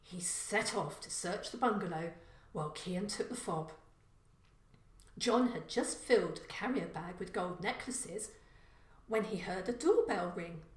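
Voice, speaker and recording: Female storyteller for crime audiobook: slow, deliberate narration, reverberant room sound. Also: fairly low pitch, animated, far from the mic. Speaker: author reading own story. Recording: echoey room.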